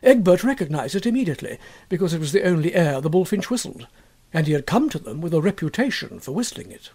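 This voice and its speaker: A man with a fairly high-pitched voice reads a short story aloud as a scripted narration. His delivery is measured and expressive, in the manner of an audiobook storyteller. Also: husky.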